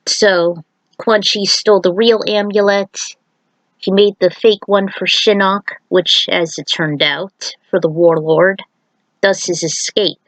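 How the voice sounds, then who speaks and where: A woman's voice: mid-range voice, no echo, rough recording. A woman reading a fan-fiction story aloud as an amateur narrator, occasionally stumbling and repeating words.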